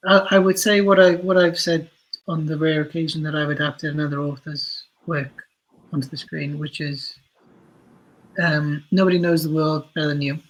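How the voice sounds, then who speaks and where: Husky male voice, slightly high, conversational interview guest, hesitant and self-correcting. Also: little pitch movement, normal speed, rough recording. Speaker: interview guest, writer for audio and screen.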